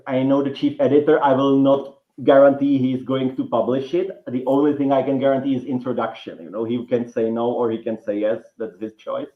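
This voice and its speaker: A man with a Czech accent, a writing teacher and guest author, talking in a rambling way with many restarts and fillers. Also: medium pitch. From Czech Republic. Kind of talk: relaxed interview conversation. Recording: roomy, dull lo-fi sound.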